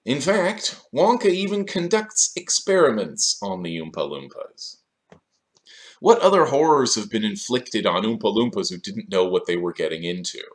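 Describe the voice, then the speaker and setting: A man's voice: on the low side, big pitch swings, moderate pace. A man in his thirties delivering scripted video essay commentary in a sardonic, questioning manner, recorded in a small room.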